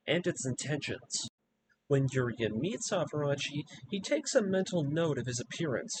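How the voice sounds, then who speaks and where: A man giving a scripted story recap in a measured, explanatory storytelling manner, as the narrator of a lore video. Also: medium pitch, low-quality recording.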